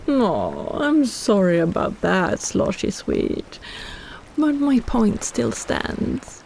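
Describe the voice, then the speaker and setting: A woman's voice: a little high, huge pitch swings, very slow, gravelly, darkish tone, a little hiss or hum, heavily acted. A woman playing a possessive, teasing kraken in an intimate roleplay audio drama, coaxing and condescending as she schemes. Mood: scheming, persuasive.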